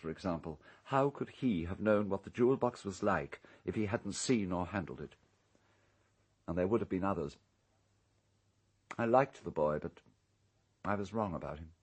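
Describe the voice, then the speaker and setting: An actor playing an urbane, calculating English island owner in a radio drama, speaking in a measured, precise, coolly unrepentant way. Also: male voice, sits low, animated, slightly rough. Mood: smooth, regretful.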